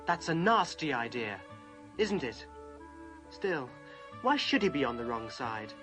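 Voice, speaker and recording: A man's voice: medium pitch, sing-song, unhurried. A male actor playing an earnest, polite, brave teenage elder brother in a children's fantasy drama. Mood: earnest, questioning. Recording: music behind the voice.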